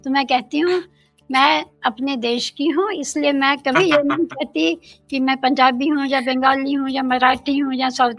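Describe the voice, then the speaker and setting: Slow-spoken older Indian woman. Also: high voice, fairly bright tone, no room sound, poor audio. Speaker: guest. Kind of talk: reflective interview talk, mixing English phrases.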